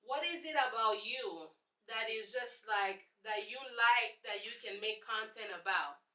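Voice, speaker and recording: A woman's voice: a little high, a little slow, bright, forceful tone, echoey room. A young woman from Congo with a Congolese accent, answering viewer questions in a casual, sassy vlog. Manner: chatty, sassy, preachy asides. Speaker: YouTube host.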